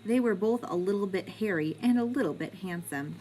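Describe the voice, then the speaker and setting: A woman reading a children's picture book aloud in an expressive, deliberate way, like a teacher with young pupils. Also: mid-range voice, slight background noise, poor audio.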